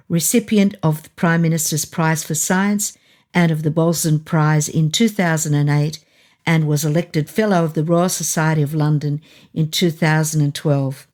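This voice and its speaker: A woman's voice: low-pitched, darkish tone. A woman hosting an interview, reading out a guest's introduction and asking chatty questions. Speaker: host.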